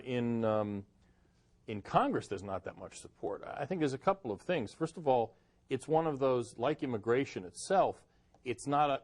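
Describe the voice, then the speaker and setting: A man's voice: medium pitch, a little mellow. A man from Boston and Cleveland, a guest author answering interview questions thoughtfully, with frequent fillers and restarts. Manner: thoughtful, hesitant with many fillers.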